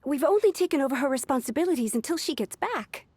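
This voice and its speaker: A woman's voice: high voice, lively intonation, fast talker, darkish tone, no room sound. A woman playing an earnest, anxious, overworked young secretary in a video game, speaking deferentially. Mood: earnest, worried. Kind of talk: scripted video game dialogue.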